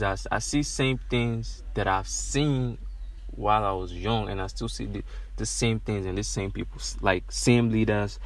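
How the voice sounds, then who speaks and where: A young man with a Nigerian accent talks straight to his podcast listeners from inside a car. His voice sits a little low, and his earnest delivery circles back on itself and swells into passionate emphasis. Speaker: podcast host. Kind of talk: solo podcast monologue.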